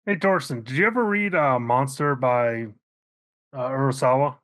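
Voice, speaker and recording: A man's voice: mid-range voice, a bit bright. A male guest in a casual group chat who teases the others and asks them questions. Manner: teasing, quick to laugh, asks the others questions. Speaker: movie club guest.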